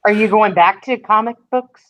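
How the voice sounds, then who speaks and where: A woman's voice: mid-range voice, normal speed, a bit bright. A woman in her fifties cracking dry jokes as a podcast co-host in casual chat. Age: 50s.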